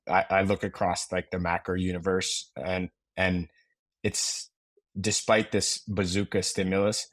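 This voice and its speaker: A man co-hosting a casual market discussion, measured and conversational. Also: sits low, fairly bright tone, no echo. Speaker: podcast co-host.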